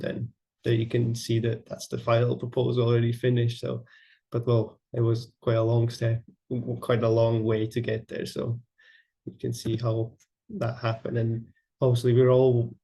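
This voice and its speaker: A young man from Latvia presenting his architecture project, halting with many fillers and restarts. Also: medium pitch, completely flat delivery, vocal fry, mellow. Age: young adult. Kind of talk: online presentation.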